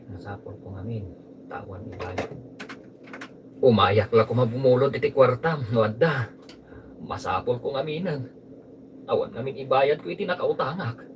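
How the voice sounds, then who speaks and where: A man's voice: mid-range voice, far from the mic, slight background noise, lightly acted. A man playing a needy, pleading debtor in a radio drama, with an Ilocano accent. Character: needy, desperate male neighbor begging a loan.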